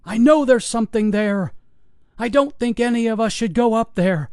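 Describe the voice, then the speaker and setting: A man's voice: extremely high pitch, normal speed, clean tone, no room sound, clean studio sound, voice pushed up. A man doing a frantic old security guard. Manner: frantic, shouting, swearing.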